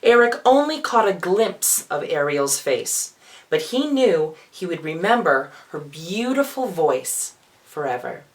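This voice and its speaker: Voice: mid-range voice, very animated, measured pace. A feminine-sounding storyteller reads a children's storybook theatrically, with playful asides. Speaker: storyteller, drag performer. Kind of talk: dramatic children's storybook reading.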